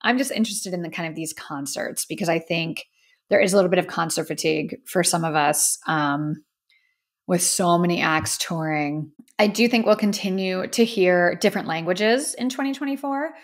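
A woman's voice: fairly low pitch, moderate pace. Earnest American woman hosting a podcast. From United States. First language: English. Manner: earnest, emphatic, conversational. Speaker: podcast host. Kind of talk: opinionated solo podcast commentary. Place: indoor studio with a plain backdrop.

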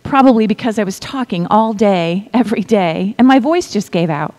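A middle-aged woman preaching a sermon, reading scripture in a measured way and dropping to a whisper for effect. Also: medium pitch, lively intonation. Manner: slow, deliberate delivery with pauses and soft whispered stretches; asks the congregation to repeat lines.

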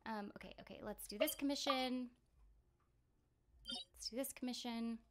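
A young woman chatting casually as she livestreams a game, excitable and giggly, often repeating her phrases. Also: on the high side, normal speed, a little hiss or hum. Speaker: game streamer. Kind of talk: casual livestream gameplay chat. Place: indoor room at home. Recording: loud game music behind. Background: game music.

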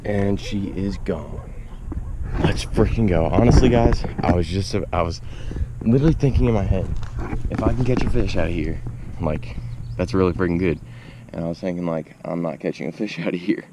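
Young fishing vlogger, deep-voiced, excitable; self-filmed outdoors, faint background noise. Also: male voice, normal speed. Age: young adult. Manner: excitable, slangy, addresses viewers as y'all. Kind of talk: casual self-filmed narration. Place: outdoors beside a suburban pond. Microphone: action camera on chest and at arm's length.

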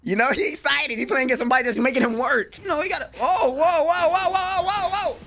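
A young adult man giving excited, slangy live reaction commentary as a host, full of hyped exclamations. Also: extremely high pitch, fast talker. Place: small room at home, indoors. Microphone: desk microphone, close.

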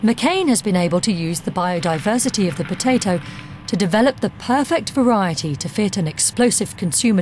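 A woman's voice: mid-range voice, darkish tone, a little hiss or hum. A woman reading scripted documentary narration as the narrator of the film. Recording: music under the narration. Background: background music.

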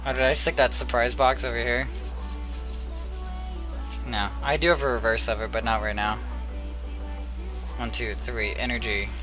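Young man, headset mic, small room, hoarse, chatty, soft music underneath. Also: medium pitch, normal speed, long pauses, poor audio. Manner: chatty, murmurs card names, bursts into excitement. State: losing his voice. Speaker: card pack opener. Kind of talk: casual pack-opening commentary.

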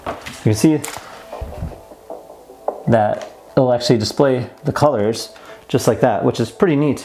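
A man's voice: mid-range voice, a little slow, darkish tone, soft background music. Easygoing man demonstrating a gadget. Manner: easygoing, mildly enthusiastic. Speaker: host of a toy review channel. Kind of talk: casual hands-on product demo. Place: plain indoor room at a table.

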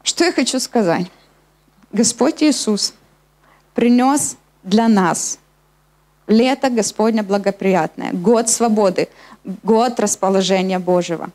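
A woman's voice: mid-range voice, slow, long pauses, darkish tone. A middle-aged woman preaching a sermon in an explanatory way, addressing her listeners, speaking into a handheld microphone on a church stage. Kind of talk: sermon with scripture reading. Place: church hall stage at a lectern, indoors.

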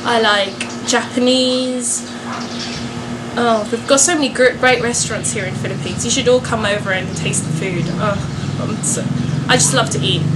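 A young woman talks in a fairly high voice, casually answering questions for her viewers. She is chatty and pokes fun at herself. There is clear noise behind her voice. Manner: chatty, self-joking. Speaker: vlogger and singer.

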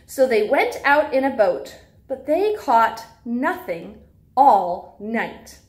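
A young woman with an American accent talks to young children as their teacher. Her voice sits high and swoops expressively from word to word. She phrases things simply, in the animated manner of a read-aloud. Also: slow pace. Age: young adult. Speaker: preschool teacher. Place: small classroom, indoors.

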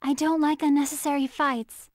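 A woman's voice: high voice, flat delivery, normal speed, a little mellow, no echo, studio quality, natural voice. A woman playing an earnest, determined young female soldier in a fighting game, giving short, emphatic battle calls. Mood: calm, resolute.

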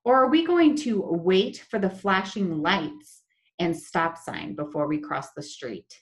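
A young woman teaching children in an online lesson, talking slowly and in a sing-song way over a laptop webcam. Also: lowish voice, animated. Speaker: special education teacher. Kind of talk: online lesson with screen share. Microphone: laptop webcam, video call.

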